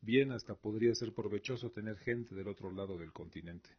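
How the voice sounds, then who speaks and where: A man's voice: fairly low pitch, fairly quick. A man playing a war-weary, pragmatic old emperor in a scripted audio drama. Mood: weary, measured. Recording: dull lo-fi recording.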